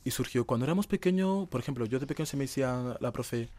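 A man's voice: medium pitch, lively intonation, fast talker, a little mellow, no room sound. A young man, giving conversational answers as an interview guest. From Madrid, Spain. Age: young adult.

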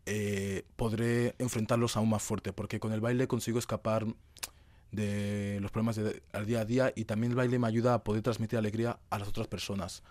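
A man's voice: fairly low pitch, flat delivery, a little fast, a little mellow, no room sound. A young man, giving conversational answers as an interview guest. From Madrid, Spain. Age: young adult.

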